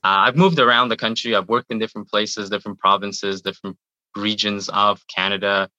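A man's voice: fairly low pitch, fairly even intonation, a little fast, bright, forceful tone, no echo, highs cut off. A man in his thirties, born in Iran, chatting in an interview and often repeating words as he talks. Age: 30s. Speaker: guest, YouTuber. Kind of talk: casual interview answers.

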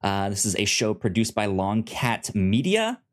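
A man hosting a casual review podcast, informal and halting, stammering over the starts of words. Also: on the low side, very animated. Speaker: podcast host.

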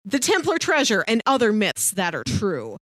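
A woman's voice: medium pitch, huge pitch swings, normal speed, fairly bright tone, no echo. A woman co-hosting a podcast, chatting casually about films with playful, fannish enthusiasm. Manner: enthusiastic, playful fan talk. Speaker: podcast co-host. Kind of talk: casual film discussion chat.

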